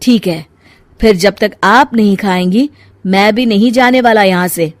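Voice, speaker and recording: A woman voicing a devoted, gentle young stepson in a cartoon moral story. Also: medium pitch, a little slow, dry recording, studio quality, natural voice. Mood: pleading.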